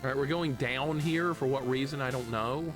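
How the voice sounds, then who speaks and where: A man's voice: mid-range voice, moderate pace. An American man in his forties from the southern US, chatting casually with viewers and grumbling in sweary, joking exasperation as he plays a video game. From southern United States. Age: 40s. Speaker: live streamer playing a video game. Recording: game music behind the voice. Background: retro video game music.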